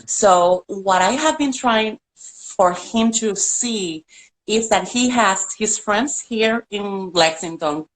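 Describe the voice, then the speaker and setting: A woman's voice: medium pitch, slow pace, rough recording. Middle-aged Puerto Rican woman, earnest caller, talks at length over a video call link. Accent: Puerto Rican Spanish. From Puerto Rico. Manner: earnest, chatty, laughs often. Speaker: caller on a live stream. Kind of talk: casual video call chat.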